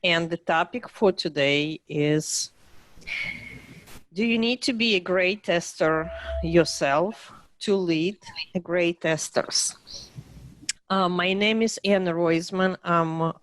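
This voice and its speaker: A woman hosting and moderating an online panel discussion, speaking in a measured way with occasional fillers. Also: fairly low pitch, no room sound, low-quality recording. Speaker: host and panel facilitator.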